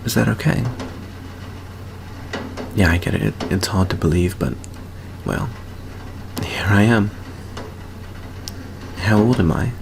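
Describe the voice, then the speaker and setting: A man acts out an earnest, nervous teenage vampire boy in an intimate ASMR roleplay. His voice sits low and crackles with vocal fry. He speaks slowly and hesitantly, stumbling over his words as he confides in a friend. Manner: hesitant, halting, stammering.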